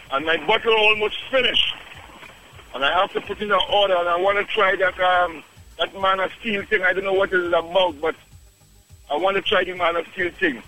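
Trinidadian guy in his 50s calling a radio show, high bright voice, super hyped, keeps saying boss. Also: rough recording. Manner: enthusiastic, repeats "boss" often, chuckles. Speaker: radio caller giving a testimonial. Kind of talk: phone-in radio chat.

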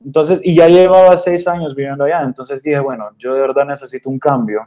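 A young man from Honduras answers interview questions at an easy, storytelling pace. His voice is dark and mellow, mid-pitched, and he talks with plenty of hesitations and restarts. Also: fairly even intonation, fairly quick. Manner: hesitant, many fillers and restarts. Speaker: guest DJ and producer. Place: radio studio.

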